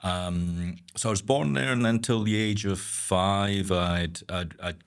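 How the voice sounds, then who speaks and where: A Scottish-born man being interviewed as a guest, talking in a hesitant way, repeating words and trailing off quietly. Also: sits low, unhurried, smooth. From Scotland. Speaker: guest architect. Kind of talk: conversational interview.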